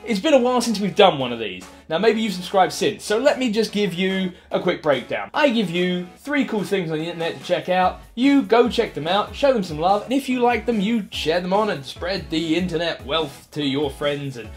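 High-pitched, animated man hosting a web show. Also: moderate pace, few pauses. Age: 30s. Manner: animated, enthusiastic, chatty. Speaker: host of an online video show. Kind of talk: casual upbeat recommendations to camera. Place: small furnished room indoors. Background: background music.

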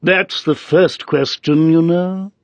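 A man voicing a dim-witted, solemn frog footman from a children's storybook, with slow, indifferent delivery. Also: on the high side, steady tone, no echo, lo-fi sound, voice pushed up. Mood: placid indifference. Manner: slow, drawn-out, solemn, stubbornly unhurried delivery.

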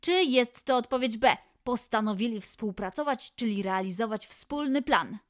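A woman's voice: a little high, expressive, normal speed, background noise. A woman presenting a scripted quiz for children as host, announcing and addressing the young listeners directly. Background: music.

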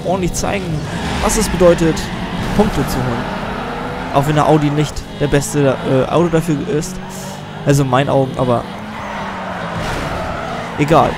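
A man's voice: fairly high pitch, moderate pace, pauses often, heavy background noise. A teenage boy chatting casually through a racing game, rambling and self-deprecating, a little under the weather with a cold. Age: teens. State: has a slight cold. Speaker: let's play gamer. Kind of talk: casual gameplay commentary. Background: game music and car sounds.

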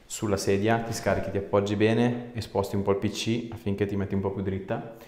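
A man's voice: on the low side, level tone, brisk, darkish tone. A man, a chiropractor giving casual, reassuring instructions to a patient in short phrases. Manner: informal, reassuring, short directions. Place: small indoor treatment room. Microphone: camera a short distance away. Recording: echoey room with background noise.

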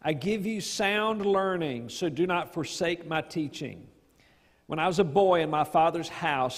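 A middle-aged man, a pastor, reading scripture and praying in public with solemn, deliberate phrasing. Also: a little high. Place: large church sanctuary, in front of congregation. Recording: echoey church hall, long reverb.

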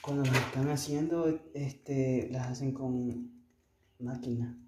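A man vlogging casually as he works on a motorcycle, explaining things in a halting, piecemeal way. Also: medium pitch, steady tone, darkish tone. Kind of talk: casual hands-on chat while working. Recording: echoey, noisy workspace recording.

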